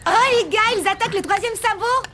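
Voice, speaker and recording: A woman voicing an excitable little dung beetle in an animated cartoon. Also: extremely high pitch, fast, slight background noise. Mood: eager.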